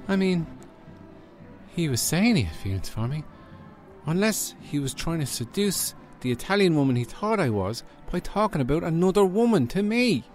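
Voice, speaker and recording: A man's voice: on the high side, very animated, moderate pace, a little mellow, faint music behind. A man playing an earnest, easily confused woman sidekick in a comedy radio serial. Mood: flustered. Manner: earnest, flustered.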